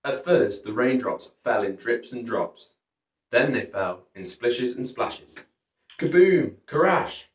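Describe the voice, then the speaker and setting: A man's voice: mid-range voice, lively intonation, slow pace. A man hosting a storytime, narrating a children's picture book in a dramatic, emphatic way. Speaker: storytime host reading picture books. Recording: roomy echo, distant from microphone.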